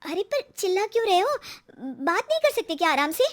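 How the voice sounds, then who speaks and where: A young cartoon housewife, acted out by a woman, speaks in a high voice. She is chatty and excitable, animated in delivery and quick to grumble at her family. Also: exaggerated voice. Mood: annoyed. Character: Shweta, chatty, excitable young married daughter-in-law.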